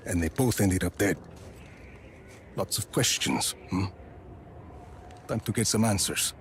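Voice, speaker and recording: An actor playing a rugged, cocky middle-aged action hero in a game cutscene, laconic and wry. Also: male voice, lowish voice, lively intonation, a little slow, a little hiss or hum. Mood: brooding. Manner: laconic, wry, clipped phrases. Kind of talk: video game cutscene acting.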